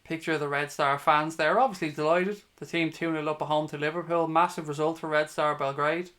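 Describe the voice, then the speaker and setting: A man's voice: on the high side, steady tone, fairly quick, a bit bright. A man with a Dublin Irish accent commentating live on football for a fan livestream, blunt, sweary and opinionated. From Ireland, from Dublin. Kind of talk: live football watch-along commentary, chatting with viewers.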